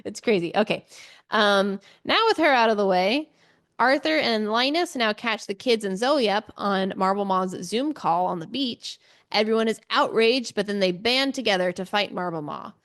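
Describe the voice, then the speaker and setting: A woman's voice: medium pitch, normal speed, a bit bright. A woman co-hosting a book podcast, giving a casual, chatty recap with informal asides and a giggly streak. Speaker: podcast co-host.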